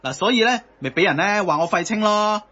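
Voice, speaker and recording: A man's voice: high voice, animated, brisk, sharp tone, lo-fi sound. An actor playing an outspoken, cheeky young man in a satirical radio drama, arguing colloquially with a Hong Kong Cantonese accent. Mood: defiant. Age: young adult. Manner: colloquial, argumentative.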